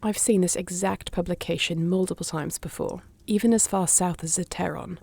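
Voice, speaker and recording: A woman's voice: lowish voice, fairly even intonation, normal speed, vocal fry, darkish tone, breathy edge. A woman playing a wry, shrewd thief for hire in a fantasy audio drama, with a dry, teasing, understated delivery. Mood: probing. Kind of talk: fantasy audio roleplay acting.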